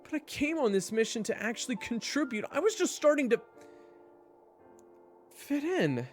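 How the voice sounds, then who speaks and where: A woman's voice: fairly high pitch, big pitch swings, moderate pace, faint music behind. A woman playing a cocky, hot-headed and insecure young swordsman in a fantasy audio drama, with a brash, indignant delivery. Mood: dismayed. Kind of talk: fantasy audio roleplay acting.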